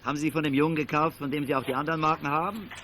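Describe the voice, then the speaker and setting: A middle-aged actor playing an impatient auction house boss in a radio play, formal and fussy. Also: male voice, a little high, steady tone, rapid-fire, bright, forceful tone. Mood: eager, excited. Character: excitable adult stamp auction dealer, impatient boss. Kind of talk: 1980s German radio play acting. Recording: faintly noisy 1980s audio drama tape.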